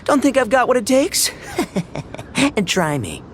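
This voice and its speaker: Blustering, animated, goofy gang boss. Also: male voice, very high-pitched, a little slow, a little hiss or hum, heavily acted. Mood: boastful. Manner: blustering, theatrical. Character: brash, boastful, goofy male gang boss. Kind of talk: anime game voice acting.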